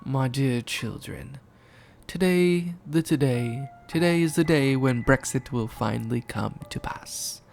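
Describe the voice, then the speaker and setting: A man's voice: mid-range voice, a little slow, creaky, darkish tone, soft background music. A man voicing a pompous, theatrical potato politician with a French accent in a parody cartoon story. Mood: grandly addressing.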